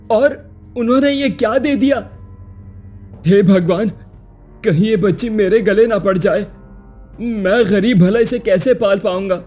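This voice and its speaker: A man's voice: sits high, a little mellow. A man playing a poor, weary, greedy washerman who exclaims and pleads in a cartoon story. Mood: dismayed. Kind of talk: Hindi cartoon moral story acting.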